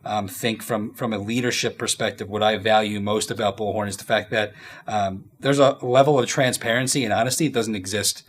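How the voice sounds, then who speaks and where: A man in his thirties giving casual, informal interview answers as a company executive, often halting as he thinks aloud. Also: lowish voice, steady tone, a little hiss or hum. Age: 30s.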